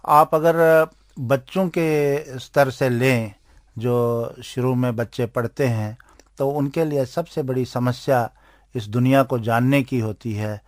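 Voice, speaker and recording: A man with an Indian accent giving explanatory interview answers as an expert, speaking in deliberate, halting phrases. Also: medium pitch, steady tone, dry recording, clean studio sound. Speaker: interviewed expert on aids for blind people.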